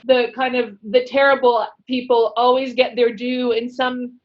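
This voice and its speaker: Articulate, somewhat high-voiced woman interviewer. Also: level tone, measured pace, lo-fi sound. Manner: articulate, reflective. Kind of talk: conversational interview.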